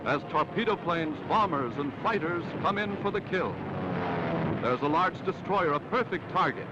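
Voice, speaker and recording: A man's voice: high-pitched, lively intonation, moderate pace. An American man narrating a 1940s wartime newsreel in a dramatic, emphatic, patriotic manner. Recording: dull, very noisy 1940s newsreel soundtrack.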